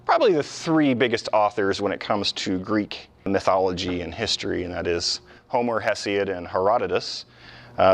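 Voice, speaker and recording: A man with a fairly low voice delivers an informal history lecture. He explains in a conversational way, like a channel host talking to followers, and repeats or stresses key words as he goes.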